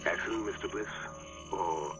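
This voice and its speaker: A man's voice: sits low, moderate pace, natural voice, low-quality recording. A man playing a cold, menacing criminal villain in a spy video game. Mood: mocking menace. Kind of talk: dramatic video game voice acting. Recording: loud music behind the voice.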